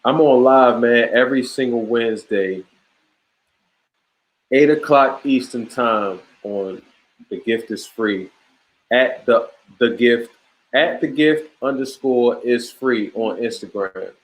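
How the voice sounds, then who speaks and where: A man talking as an interview guest in a relaxed, emphatic way, full of slang, restarts and boasts. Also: medium pitch, slow, rough recording. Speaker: podcast host, guest on the show. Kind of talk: casual interview chat.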